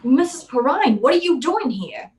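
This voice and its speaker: Woman playing a wry hardboiled private eye, high-voiced. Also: big pitch swings, normal speed, background noise. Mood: uneasy. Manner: slangy, wry, tough-talking. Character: hardboiled wisecracking male private eye, narrating his own case. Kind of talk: old-time radio detective drama acting.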